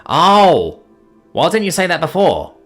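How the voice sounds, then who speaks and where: A man's voice: high-pitched, wildly swooping pitch, unhurried, fairly bright tone, soft background music, pitched above the performer's own voice, full character voice. A man voicing a playful, cheeky young male dragon who teases and jokes carefree. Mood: innocent. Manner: teasing, sarcastic, carefree.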